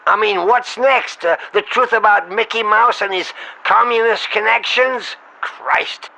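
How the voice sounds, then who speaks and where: A man's voice: high-pitched, a little slow, gravelly, pushed and bright, heavily acted. A man playing an exasperated, foul-mouthed talent agent in a comedy phone-call sketch, blunt and sarcastic as he scolds his client. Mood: exasperated, dismissive. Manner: blunt, scolding, sarcastic, talking over the other.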